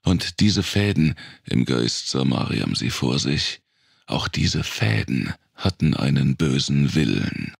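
A man narrates dark fiction in an extremely low voice, thick with vocal fry and husky at the edges. His delivery is deliberate, with dramatic phrasing suited to a thriller audiobook, and the sound is close and dry. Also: big pitch swings, no room sound. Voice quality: very deep, gravelly, husky.

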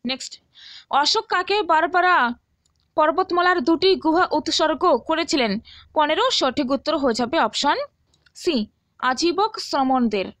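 A woman's voice: high voice, moderate pace, pauses often, a bit bright, no echo. A woman teaching as a tutor, reading out exam questions and answers and often checking that listeners follow.

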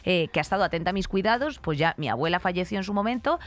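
A woman in her thirties with a fairly low voice and a Spanish accent from Spain. She chats candidly as a podcast host, blunt and emphatic, swearing freely. She sits close to a studio microphone. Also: lively intonation, racing. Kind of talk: candid, opinionated podcast chat. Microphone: close studio microphone on arm.

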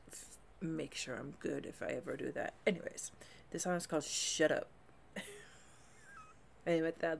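A woman's voice: sits low, gravelly, slight background noise. A middle-aged woman chatting as a music reaction vlogger in a small room at home, enthusiastic and rambling, often restarting her sentences and laughing. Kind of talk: casual reaction chat.

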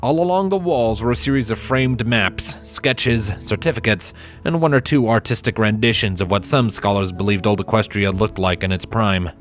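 Low-voiced man narrating a story over music. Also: normal speed, few pauses. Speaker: narrator of a fan fiction audiobook. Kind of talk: scripted dramatic story narration.

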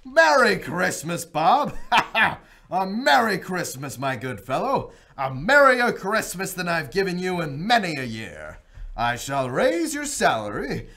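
Casting note: an exuberant old miser in a radio play, slow-spoken with wildly swooping pitch. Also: male voice, pushed and bright, exaggerated voice. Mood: teasing. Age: older. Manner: exuberant, laughing, theatrical. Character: elderly male miser, giddy and reformed. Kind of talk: radio drama acting.

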